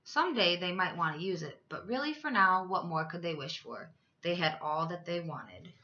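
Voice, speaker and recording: A woman's voice: on the low side, expressive, moderate pace, pushed and bright, dull audio. A woman reading a children's story aloud as a teacher, expressively, with dramatic emphasis for young listeners.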